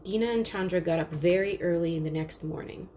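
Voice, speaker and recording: A woman reads a children's picture book aloud. Her voice sits a little low and her delivery is measured and expressive. There is noise behind her. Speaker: storybook reader. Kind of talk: scripted children's story read-aloud. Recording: clearly noisy background.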